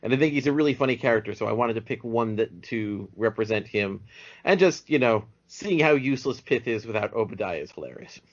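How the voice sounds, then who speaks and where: A man with a mid-pitched, very lively voice talks as an enthusiastic podcast guest. He gives casual cartoon commentary on a slightly dull recording. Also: a little fast.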